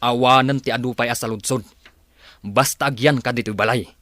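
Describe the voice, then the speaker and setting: A man's voice: medium pitch, fairly even intonation, brisk, fairly bright tone, no room sound, close to an everyday voice. A Filipino man playing a stern, jealous young husband in a radio drama, curt and commanding. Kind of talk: Philippine radio drama acting.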